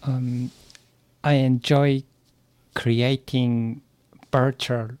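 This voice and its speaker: A man from Japan with a Japanese accent, answering interview questions as an English learner, haltingly searching for words. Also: mid-range voice, level tone, darkish tone, smooth, no echo. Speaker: podcast guest, English learner.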